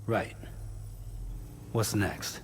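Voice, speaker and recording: An actor playing a terse, world-weary, stoic male courier in a cinematic video game, speaking in a laconic, flat way. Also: very slow, heavy background noise. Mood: grim, guarded.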